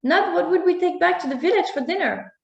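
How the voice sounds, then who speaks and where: A woman voicing an impatient, scornful older boy hunting in the forest, sharp and scolding. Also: extremely high pitch, expressive, fairly quick, gravelly, echoey room, highs cut off, voice pushed up, heavily acted.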